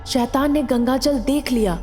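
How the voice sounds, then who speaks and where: Woman narrator over loud music. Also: high voice, normal speed. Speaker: storyteller. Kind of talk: scripted story narration.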